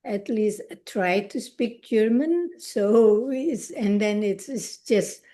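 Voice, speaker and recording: An older woman from Indonesia, whose first language is Indonesian, speaking haltingly and searching for words in an interview. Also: mid-range voice, warm tone. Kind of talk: conversational interview.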